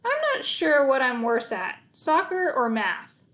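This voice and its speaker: Woman as an excitable, high-pitched grumbling boy. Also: measured pace, full character voice. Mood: complaining. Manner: excitable, complaining. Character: eager, grumbling boy desperate to earn money.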